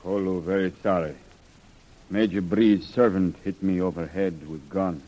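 An actor in a 1930s radio serial playing a loyal native servant who speaks halting broken English. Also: male voice, low-pitched, steady tone, dark tone, poor audio. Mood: dutiful reporting. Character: loyal native servant and tracker, a grown man. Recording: old radio recording.

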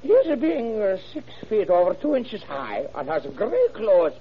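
High male voice, Greek accent, swooping intonation, mangled idioms, eager café owner, muffled vintage radio. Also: wildly swooping pitch, measured pace, crowd noise, full character voice. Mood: eager, earnest. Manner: garbled grammar, muddled idioms, eager to help. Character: excitable immigrant café owner mangling his English. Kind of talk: old-time radio comic character acting. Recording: muffled old radio recording.

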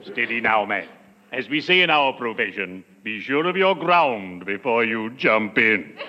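An actor playing a dry, unhurried undertaker with formal, drawn-out, deadpan delivery in 1940s radio comedy. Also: male voice, mid-range voice, close to an everyday voice. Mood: deadpan gloom. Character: lugubrious male undertaker friend, dry and unhurried. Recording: noisy old radio broadcast, muffled.

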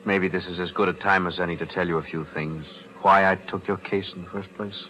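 A calm, very deep lawyer. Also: male voice, slight background noise. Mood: composed. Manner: measured, steady and composed. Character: calm, principled male lawyer. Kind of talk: 1940s radio acting. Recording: dull lo-fi old radio broadcast.

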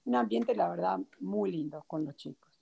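A woman's voice: fairly low pitch, lively intonation, moderate pace, creaky, husky. A woman in her forties from Uruguay, speaking as an interview guest, telling stories with frequent fillers and restarts. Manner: warm storytelling with many fillers and restarts. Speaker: guest, children's book author and teacher. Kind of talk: casual interview conversation.

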